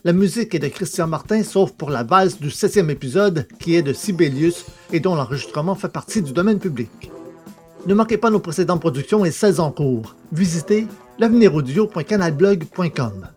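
A man's voice: a little high, expressive, moderate pace, darkish tone. A man presenting an audio drama, reading out scripted credits as announcer. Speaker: presenter and author. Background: music.

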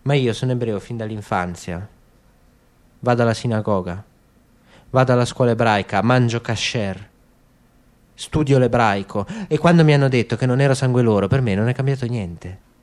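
A man's voice: medium pitch, normal speed, darkish tone, lightly acted. A man playing a defiant young soldier in a radio drama, speaking with clipped, peremptory firmness. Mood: controlled, resolute. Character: tall young male soldier, stiff, defiant, sharp. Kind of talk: literary radio drama acting.

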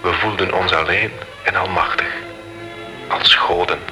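Deep, bright-toned ghostly young lover. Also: male voice, steady tone, measured pace, faint music behind, exaggerated voice. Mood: romantic, nostalgic. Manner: solemn, romantic, reminiscing. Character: ardent young nobleman's ghost, in love, speaking from a painting. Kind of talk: radio drama acting.

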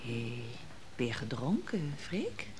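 A bitter, scolding sister-in-law in a radio play. Her pitch leaps dramatically as she accuses, sharp-tongued and hard. There is slight noise on the old recording. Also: wildly swooping pitch, slow pace, heavily acted. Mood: cold, accusing. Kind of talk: naturalistic Dutch radio drama acting.

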